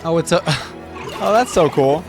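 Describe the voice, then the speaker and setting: A man's voice: on the high side, lively intonation, a little slow, over music. A man hosting a reaction video with casual, informal commentary, thinking aloud as he goes.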